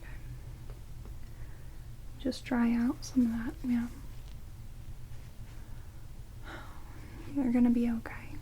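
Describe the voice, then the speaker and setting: A woman playing a rude, sarcastic female vampire in a whispered ASMR roleplay, soft and casual, speaking into close binaural mics. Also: a little high, flat delivery, measured pace, close to an everyday voice, low-quality recording. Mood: grudging care. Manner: soft-spoken whispering, dry, snarky, casual. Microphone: close binaural microphones. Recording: hissy rain noise on binaural mics.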